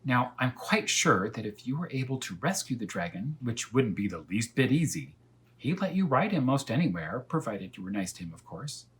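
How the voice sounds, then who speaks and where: A man's voice: medium pitch, very animated, normal speed, voice pushed up, lightly acted. A man voicing a talkative female cat who tells her tale earnestly and without hurry in a children's storybook. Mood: encouraging. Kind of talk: children's storybook read-aloud.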